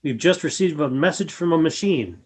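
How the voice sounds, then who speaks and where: A woman in a radio play voicing a solemn, courteous alien who speaks in a measured, formal way through a robot. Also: low voice, expressive, darkish tone, breathy edge. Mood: helpful, measured.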